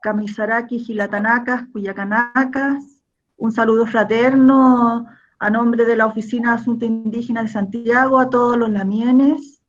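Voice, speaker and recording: A Chilean woman working as a government cultural officer gives a fraternal welcome to a workshop on a video call. Her voice is slightly high and she restarts phrases now and then. The audio is dull and lo-fi. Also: steady tone, moderate pace. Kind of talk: formal welcome speech.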